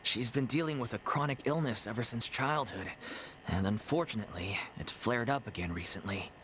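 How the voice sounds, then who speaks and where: A man's voice: mid-range voice, a little fast, fairly bright tone, close to an everyday voice. A man playing an earnest, downhearted young amateur theater troupe member in an anime video game, polite and hesitant. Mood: downcast. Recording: music behind the voice.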